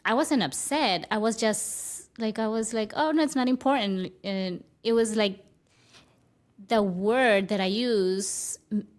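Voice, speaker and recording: A woman's voice: mid-range voice, measured pace. Woman, first language Spanish, guest speaker, reflective, restarts phrases, mid-range voice. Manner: thoughtful, repeats and restarts phrases. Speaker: guest, spiritual teacher. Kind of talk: conversational interview.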